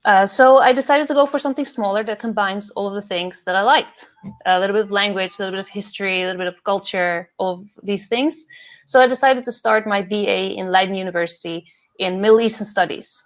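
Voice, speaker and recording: A young woman from the Netherlands, a PhD fellow and podcast host, talking earnestly about her career path with many pauses and fillers over a video call. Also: mid-range voice.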